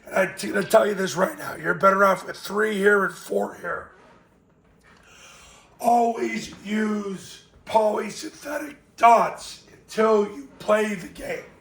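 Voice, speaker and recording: A man with a raspy voice, hoarse from a cold, giving blunt, emphatic football coaching tips. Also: sits high, slow, fairly bright tone, reverberant.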